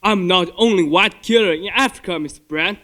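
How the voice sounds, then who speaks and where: Young man acting a brash high-voiced cynic. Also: brisk, pushed and bright. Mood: defiant. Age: teenager. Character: brash, cynical, unrepentant male guest in murder mystery. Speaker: student stage actor. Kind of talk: amateur stage play acting.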